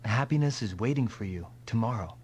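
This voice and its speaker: A man's voice: on the low side, lively intonation, a little fast, darkish tone, slight background noise, close to an everyday voice. A man playing a loyal, reassuring hero with earnest, dramatic delivery in an anime-style game dub. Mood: gentle, somber. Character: loyal, earnest heroic male comrade, reassuring.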